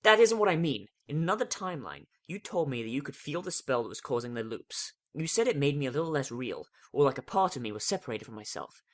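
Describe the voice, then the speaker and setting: Young female cartoon unicorn, played by a reader, earnest, intense, theatrical, emphatic, very lively pitch, dramatic story reading. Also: quick pace, few pauses, close to an everyday voice. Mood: anxious, disbelieving. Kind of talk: first-person dramatic fan fiction reading.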